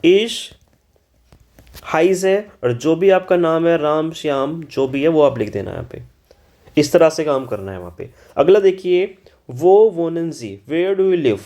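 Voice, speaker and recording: High-voiced man teaching German in Hindi. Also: animated, mellow. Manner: scolding, repeats mistakes students made; spells out German words slowly. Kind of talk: exam-solution lesson in Hindi.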